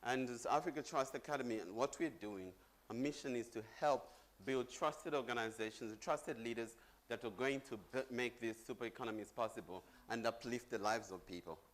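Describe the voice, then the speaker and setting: A man's voice: mid-range voice, a little fast. A middle-aged man from Zambia giving a chatty conference presentation on stage, joking with the audience. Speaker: conference speaker.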